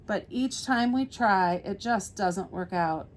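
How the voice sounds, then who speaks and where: A woman's voice: medium pitch, takes it slowly, close to an everyday voice. A woman voicing an impulsive, easily upset blue paint splatter from a picture book, with exaggerated emphasis. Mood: pouting, dejected.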